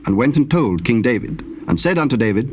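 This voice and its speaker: Male narrator for dramatized scripture, formal and measured, mid register, slightly hissy old cassette. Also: mid-range voice, big pitch swings, a little mellow, muffled recording.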